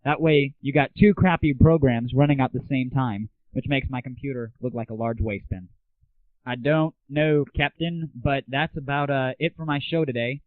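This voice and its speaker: A man's voice: medium pitch, normal speed, no room sound. A man hosting a casual solo show, giving blunt, opinionated commentary peppered with swearing. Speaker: show host. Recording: narrow, phone-like lo-fi audio.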